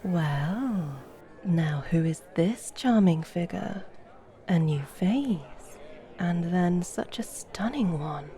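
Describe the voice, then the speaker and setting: A woman's voice: fairly low pitch, animated, slow pace, dark tone. A woman playing a seductive, mischievous vampire in an ASMR roleplay, speaking in a flirtatious, languid, formal way.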